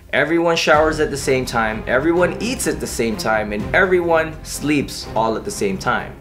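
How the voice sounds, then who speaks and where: A man who serves in the Army, giving an earnest, encouraging scripted voice-over and speaking straight to the viewer. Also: mid-range voice. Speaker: Army soldier narrating. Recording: voice-over over loud background music. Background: music.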